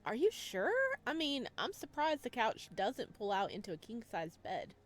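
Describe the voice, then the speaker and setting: A woman's voice: fairly high pitch, wildly swooping pitch, moderate pace. A woman voicing a friendly young man, the polite protagonist of a visual novel, casual and earnest. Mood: insistent.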